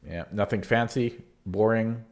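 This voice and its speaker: Deep voiced guy going over his chess game, kinda hoarse, lots of um and restarts. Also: a little slow. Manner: hesitant, many restarts, relaxed and candid. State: losing his voice. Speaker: chess channel host reviewing his own game. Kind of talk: casual game analysis from notes.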